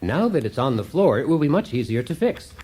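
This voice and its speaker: Man playing an animated, oblivious DIY show host. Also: mid-range voice, a little fast, a little hiss or hum, close to an everyday voice. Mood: chipper, unflappable. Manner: chirpy instructor patter, unflappable. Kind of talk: radio comedy parody of instructional show.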